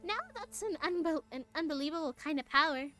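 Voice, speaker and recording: Woman putting on a squeaky, gossipy girl. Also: brisk, pushed and bright, faint music behind. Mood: curious. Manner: breezy, gossipy reading of character lines.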